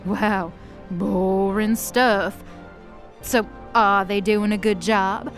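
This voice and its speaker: A woman's voice: medium pitch, fairly even intonation, extremely slow, soft background music. A woman playing a gleeful, commanding female demon boss in an audio drama, with a mocking, theatrical drawl. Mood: amused, impatient.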